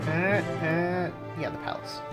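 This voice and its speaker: A man's voice: a little high, fairly even intonation, measured pace, loud music behind the voice. A man narrating a video game story as he plays, reading the text aloud. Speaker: video game player reading all parts.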